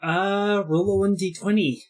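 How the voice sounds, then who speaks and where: A man running a tabletop roleplaying game as game master, giving casual rulings and narration in a halting way. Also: high voice, steady tone.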